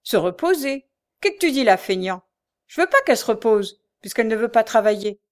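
A cruel old beggar woman from a dramatic novel, acted out by a woman narrator. Her speech is coarse and spiteful, full of sneers and scolding. The voice rides high and forceful, always close to rage. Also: expressive, normal speed. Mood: enraged. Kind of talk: dramatic audiobook character voice.

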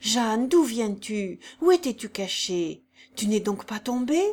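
A woman's voice: mid-range voice, slow, darkish tone, lightly acted. A woman voicing an older godfather, emotional and tender toward a girl he thought lost. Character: anxious devoted godfather, older man.

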